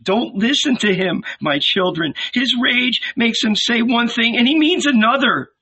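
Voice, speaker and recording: A man's voice: a little high, huge pitch swings, drawn-out words, sharp tone, breathy edge, voice pushed up, exaggerated voice, low-quality recording. A man voicing a firm, placating old peasant woman in an audiobook, coaxing yet commanding. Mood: urgent, commanding.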